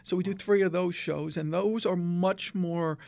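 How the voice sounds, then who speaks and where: A man's voice: sits high, steady tone, measured pace, gravelly, a little mellow, no echo. A man from New Jersey, a community theater volunteer, talking casually in an interview, rambling with lots of fillers and restarts.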